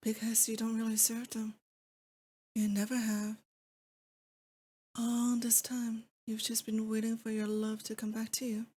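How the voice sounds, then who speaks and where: A woman's voice: fairly high pitch, completely flat delivery, unhurried, long pauses, mellow, husky. A woman acting as a lovesick, possessive demon lord in a romantic ASMR roleplay, intimate and doting with a theatrical menace. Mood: tender, longing.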